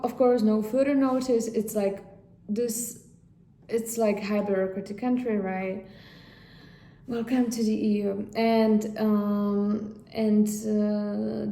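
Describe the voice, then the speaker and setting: Czech woman doing a casual chatty vlog, mellow voice, kinda halting, roomy echo. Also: medium pitch. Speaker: vlog host. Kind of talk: casual chat to viewers. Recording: echoey room.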